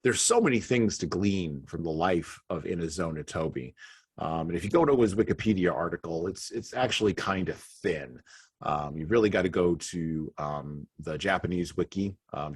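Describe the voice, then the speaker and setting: Male podcast host, deep and breathy, reflective talker. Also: normal speed, no echo, clean studio sound. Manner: reflective, laughs between points. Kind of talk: conversational podcast discussion.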